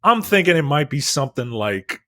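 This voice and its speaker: A man chatting casually as a podcast co-host, talking in a halting, rambling, informal way with some swearing. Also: fairly high pitch, big pitch swings, sharp tone, no echo, studio quality. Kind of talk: casual movie and game news chat.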